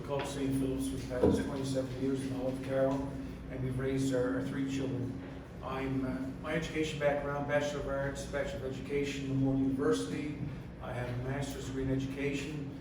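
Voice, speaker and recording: A man's voice: mid-range voice, level tone, a little fast, far from the mic, noisy background. Matter-of-fact man in an echoey hall. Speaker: teacher and council candidate. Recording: echoey hall recorded from afar.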